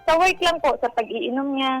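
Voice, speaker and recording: A woman's voice: high-pitched, moderate pace, fairly bright tone, faint music behind, rough recording. A woman with a Filipino accent speaking politely as a caller, confiding about her personal life. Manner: polite and deferential in address. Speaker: caller seeking advice.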